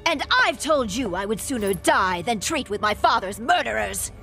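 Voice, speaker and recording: An actress playing a hot-tempered, vengeful young empress in a fantasy game, haughty, theatrical and threatening. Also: female voice, a little high, big pitch swings, normal speed, creaky, sharp tone, soft background music, exaggerated voice. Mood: outraged. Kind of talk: dramatic fantasy game acting.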